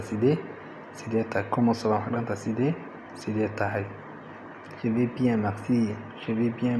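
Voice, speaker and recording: A man's voice: lowish voice, background noise. A man with a Somali accent teaching a bilingual phrase lesson, repeating and translating each phrase in an instructional way. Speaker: language teacher, channel host.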